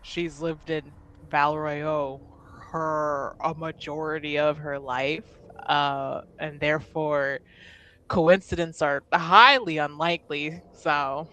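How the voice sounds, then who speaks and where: Bright-voiced woman, slow-paced tabletop player. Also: sits low, slight background noise. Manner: expressive, informal, swears. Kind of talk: casual in-character roleplay and narration.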